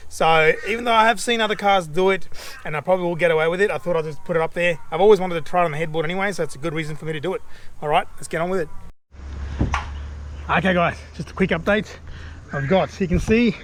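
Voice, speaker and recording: A man's voice: fairly high pitch, fairly bright tone. An Australian man talking casually and chattily, presenting a do-it-yourself how-to vlog. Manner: informal, chatty, mate-to-mate. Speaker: DIY video host. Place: outdoors beside a vehicle. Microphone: action camera. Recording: clear background noise, outdoor camera audio.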